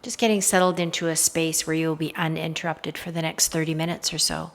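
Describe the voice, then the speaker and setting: A woman leading a guided meditation in a soothing, hypnotic style, with soft trailing phrase endings. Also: low voice, level tone, a little fast.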